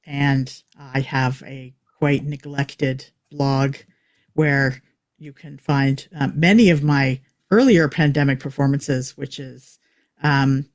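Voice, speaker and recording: A woman speaks slowly in a casual self-introduction. She is hesitant, leaving many pauses between short phrases. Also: very deep voice, steady tone. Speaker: actor at a table read.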